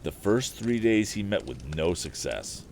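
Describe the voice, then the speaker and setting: A man narrating a story as its host, reading live with expressive, deliberate phrasing. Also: sits low, slight background noise.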